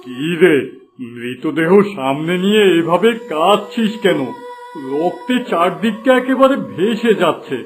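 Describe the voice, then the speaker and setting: A man's voice: a little high, animated, unhurried, faint music behind. A man voicing a blunt, inquisitive wandering holy man in a fairy-tale cartoon, speaking in a familiar, questioning way.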